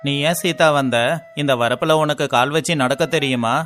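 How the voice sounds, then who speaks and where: A man's voice: mid-range voice, moderate pace, fairly bright tone, faint music behind, close to an everyday voice. An actor playing a kindly, worried village farmer and family man in a cartoon moral story, speaking with affectionate concern.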